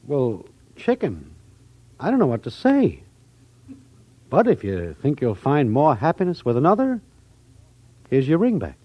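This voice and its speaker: Husky, slangy wiseguy. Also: male voice, medium pitch, wildly swooping pitch, measured pace, long pauses, dry recording, exaggerated voice. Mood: wounded, resigned. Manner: slangy, mock-indignant. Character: shiftless wisecracking boyfriend, slangy small-time schemer. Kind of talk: old radio sitcom acting. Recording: muffled old radio recording.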